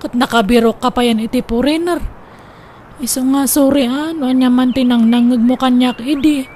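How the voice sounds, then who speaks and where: A woman playing an excitable, man-hungry older sister in a comedy radio drama, exclaiming theatrically. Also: high voice, normal speed, natural voice. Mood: apologetic, pleading. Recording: faint background noise.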